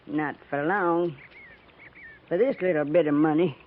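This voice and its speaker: Tough old American frontier widow, tinny radio. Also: female voice, low voice, a little slow. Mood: hard, threatening. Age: older. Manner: curt, scolding, folksy. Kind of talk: 1950s radio western acting. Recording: tinny, narrow-band 1950s radio recording.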